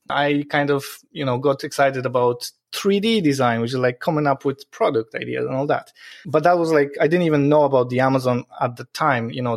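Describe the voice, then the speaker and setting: A Polish-accented man talks as a podcast guest, rambling through his answers with lots of "you know" and "um". His voice is of middle pitch. The recording is close and dry. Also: dry recording. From Poland. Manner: rambling, many fillers. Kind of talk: casual interview answers.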